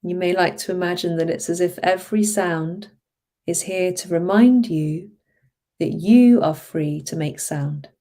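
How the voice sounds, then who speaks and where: A woman guides a hypnosis session as a therapist would, very slowly and soothingly. Her voice sits a little low and has a soft, dark, relaxed tone. She draws out her phrases and gently encourages the listener.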